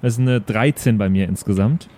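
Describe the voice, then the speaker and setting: A man's voice: fairly low pitch, a little fast, mellow. A man playing a tabletop game, casually reporting his rolls and actions. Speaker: tabletop player.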